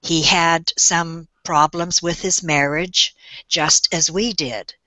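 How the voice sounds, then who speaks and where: An older woman speaks slowly and thoughtfully as a guest on a webinar, her voice bright and forward. She tells her story gently and laughs softly now and then. The sound is dry and close. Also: fairly low pitch, fairly even intonation, no room sound. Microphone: webinar call.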